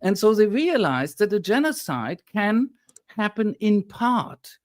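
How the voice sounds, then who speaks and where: Emphatic German man, fairly high voice. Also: big pitch swings, a little slow, no echo, clean studio sound. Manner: emphatic, lecturing, indignant. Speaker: historian guest. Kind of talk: impassioned political discussion.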